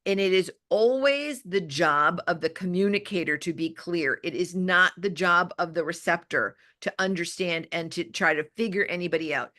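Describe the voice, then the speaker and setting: A woman guesting on a podcast, talking about marketing in an energetic, emphatic way and repeating her key phrases. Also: fairly low pitch, normal speed, few pauses. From Los Angeles area, US. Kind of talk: casual interview chat.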